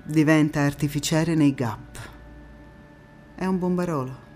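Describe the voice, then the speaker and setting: An actress playing a passionate wartime partisan woman in a theatrical monologue, phrasing every line with deliberate emphasis. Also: low voice. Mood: measured narrating. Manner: emphatic, deliberate phrasing swinging between hushed intimacy and fervour. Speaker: stage actress. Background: music.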